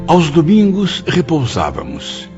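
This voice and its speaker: An earnest, reflective student spirit in a Brazilian radio play. His delivery is formal and declamatory, with very lively melody. The sound is a little muffled, with soft music underneath. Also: a little high, takes it slowly, exaggerated voice. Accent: Brazilian Portuguese. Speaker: radio drama actor. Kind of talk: Brazilian radio drama acting. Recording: band-limited audio with music behind.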